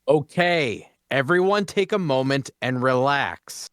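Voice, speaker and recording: A man playing a calm, reasonable leader of adventurers, placating and measured, in a fantasy drama table read. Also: medium pitch, clear, resonant tone, no echo, studio quality. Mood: firm.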